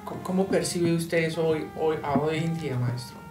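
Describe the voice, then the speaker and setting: A young man hosting an interview in a living room, asking slow questions full of restarts. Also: fairly high pitch, a little mellow, faint music behind. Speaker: host.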